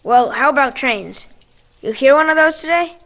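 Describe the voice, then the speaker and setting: A woman's voice: high voice, lively intonation, moderate pace, a bit bright, in a large hall. An actress playing an earnest, devout boy in a radio drama, plain-spoken, urgent and determined. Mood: wary, searching. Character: earnest, devout boy living rough underground. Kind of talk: post-apocalyptic radio drama acting.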